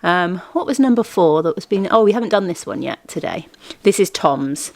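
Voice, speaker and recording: A woman's voice: fairly low pitch, lively intonation, normal speed, vocal fry. A woman hosting a family vlog, chatting casually and explaining things in an informal way. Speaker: vlog host.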